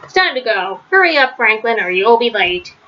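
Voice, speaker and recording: A woman's voice: on the high side, big pitch swings, normal speed, bright, forceful tone, dull audio. A woman reading the part of a playful female otter in a children's storybook, light and coaxing. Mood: urging.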